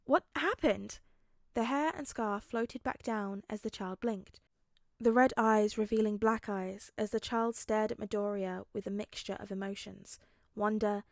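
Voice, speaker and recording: A woman's voice: medium pitch, a little mellow, no room sound, clean studio sound. A woman reading a story aloud as scripted audiobook narration. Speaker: audiobook reader.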